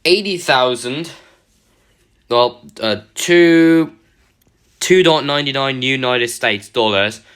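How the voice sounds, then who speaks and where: A man with a half-lost, hoarse voice, rambling through a casual mobile game tutorial with lots of self-corrections. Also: medium pitch, takes it slowly, pauses often, a bit bright. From United Kingdom. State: partly lost voice, throat-clearing. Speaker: gaming channel host.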